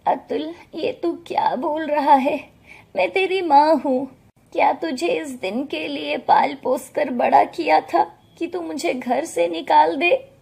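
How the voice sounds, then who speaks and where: Casting: gentle elderly teacher and mother, mellow and pleading, for a cartoon bedtime tale woman performer. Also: sits high, slow pace, creaky, voice pushed up, exaggerated voice. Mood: hurt, pleading. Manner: pleading, meek. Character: gentle, wronged elderly mother, retired schoolteacher.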